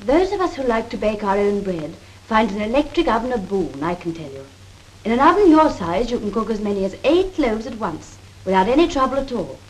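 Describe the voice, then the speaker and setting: A woman's voice: mid-range voice, very animated, brisk, lightly acted. A woman playing an assured cookery demonstrator in a 1930s British film, speaking precise Received Pronunciation with a proper, instructive manner. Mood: instructive, encouraging. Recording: worn, noisy 1930s film soundtrack.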